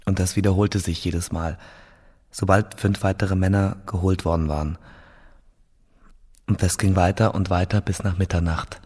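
An actor playing a grave, parched captive awaiting execution in a radio drama monologue, slow and subdued, sometimes near a whisper. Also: male voice, low voice, fairly even intonation, darkish tone, slightly rough. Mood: bleak, haunted.

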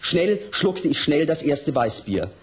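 A man's voice: on the high side, big pitch swings, takes it slowly. An actor playing a tormented, provocative punk author with a southern German accent, reading his text aloud in a vehement radio drama monologue. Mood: scornful, excited. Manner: vehement, colloquial, declamatory.

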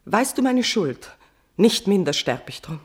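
An actress playing a guilt-tormented, passionate queen in a classical verse tragedy for radio, declaiming with shifts between hushed and forceful delivery. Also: mid-range voice, sing-song, unhurried, breathy edge. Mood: anguished passion. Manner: declamatory, swinging from whispers to outbursts.

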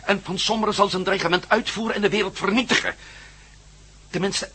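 A man's voice: high voice, fairly even intonation, a little fast, pushed and bright, husky, heavily acted. A man playing an earnest, forthright hero in a radio play, emphatic and searching for his words. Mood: grave. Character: Matt Meldon, earnest, forthright male hero.

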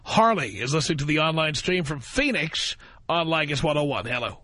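A man hosting a call-in radio show, taking callers in a brisk, punchy, affirming way. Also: a little high, sing-song, pushed and bright, dry recording, clean studio sound. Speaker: radio talk show host. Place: radio studio. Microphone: studio microphone.

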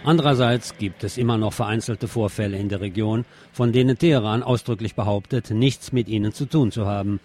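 A man's voice: lowish voice, brisk, hardly any pauses, over music. A man presenting scripted news commentary as a radio presenter, with pointed, sardonic phrasing.

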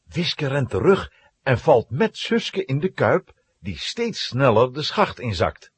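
Husky, very animated male storyteller. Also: mid-range voice, slow pace, dry recording. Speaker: narrator. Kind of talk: dramatic storytelling, scripted narration for children.

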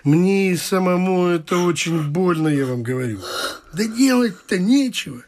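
A man's voice: high-pitched, lively intonation, moderate pace, darkish tone. A man playing a stubborn, honourable middle-aged father in a classic comedy radio play, fussy and theatrical. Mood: firm, distressed. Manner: repeats a pet phrase, insistent, theatrical. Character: stubborn honourable father in his forties, fussy but soft-hearted. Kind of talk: classic stage-comedy radio play acting, some sung verse. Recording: music behind the voice.

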